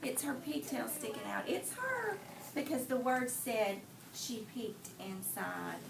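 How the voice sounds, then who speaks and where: A woman teacher doing an interactive read aloud for young children, telling the story expressively with deliberate phrasing and pausing to put questions to them. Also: on the high side, lively intonation. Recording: distant mic, clearly noisy room.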